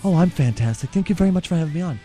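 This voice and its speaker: A man's voice: on the high side, animated, warm tone, slightly rough, soft background music. A man voicing a chipper, nosy co-host who keeps pushing for gossip. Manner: rapid, prying, innocent-sounding.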